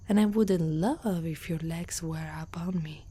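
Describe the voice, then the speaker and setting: A hushed, breathy, low-toned female centaur warrior, acted by a woman from Italy. She is an older, battle-worn guardian, protective and haunted by loss. The delivery is gentle, slow and earnest, with a soft mellow tone suited to whispered ASMR roleplay. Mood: tender, resolute.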